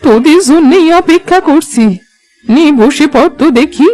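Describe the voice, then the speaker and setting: A woman's voice: sits high, normal speed, darkish tone, lightly acted. A woman playing a doting old village grandmother in a cartoon, fond and a little bossy with her family, with music underneath. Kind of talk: Bengali cartoon dialogue.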